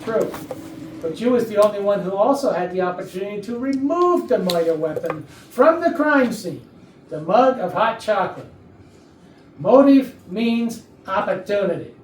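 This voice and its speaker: A woman playing a confident, deliberate police detective in a staged murder mystery comedy. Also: medium pitch, animated, a little mellow, natural voice. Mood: firm. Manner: deliberate, authoritative. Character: brisk, confident police detective laying out the case. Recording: echoey room, distant mic, faint noise.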